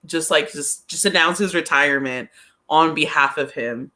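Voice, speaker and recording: A woman's voice: low-pitched, normal speed, sharp tone. A woman in a casual sports chat as a podcast guest, giving her opinions informally and hesitantly, often repeating her phrases. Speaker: podcast guest, soccer pundit.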